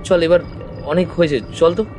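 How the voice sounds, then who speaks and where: A man's voice: a little high, fairly even intonation, fairly quick, natural voice. An actor playing an impatient, irritable young city man in a horror audio drama. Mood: exasperated. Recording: loud music over the voice.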